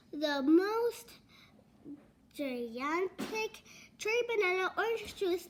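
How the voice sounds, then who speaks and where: A young girl with a very high, childlike voice reads a storybook aloud. Her pace is very slow and halting, taken word by word with small stumbles. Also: a little hiss or hum. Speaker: read-along reader.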